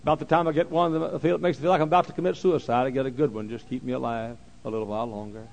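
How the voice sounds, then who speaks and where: A man preaching a sermon, repeating phrases and swinging from quiet, slow talk to loud shouting. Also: mid-range voice, steady tone, creaky.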